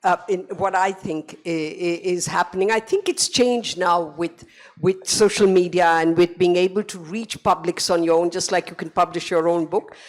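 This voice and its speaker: A woman answering a question on a panel, measured and thoughtful. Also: on the low side.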